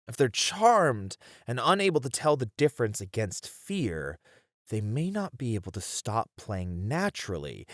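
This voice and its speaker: A man's voice: on the low side, dry recording. A man playing a hesitant but intrigued game developer in a conversational ASMR roleplay, casually thinking aloud on a close mic. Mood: amused, doubtful. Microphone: close studio mic.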